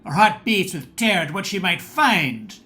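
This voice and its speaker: A man's voice: high voice, very animated, moderate pace, sharp tone. A man reading scripted, dramatic narration for a radio play.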